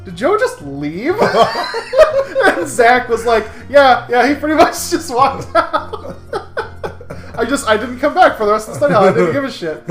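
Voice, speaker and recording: Young man, American accent, wry halting storytelling, casual chat, echoey room, faint background music. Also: extremely high pitch, big pitch swings, fairly bright tone. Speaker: co-host of a game playthrough. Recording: roomy echo, faint music behind.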